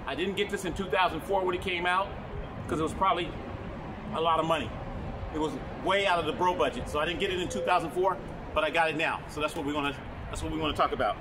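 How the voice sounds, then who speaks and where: A man talking loudly and rambling as he shows off old cameras, correcting himself often, recorded on a phone with no microphone. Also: on the high side, fast talker. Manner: loud, rambling, self-correcting. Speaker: vlogger and camera collector. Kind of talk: casual on-camera show-and-tell. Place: his broadcaster museum room. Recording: phone recording with clear background noise.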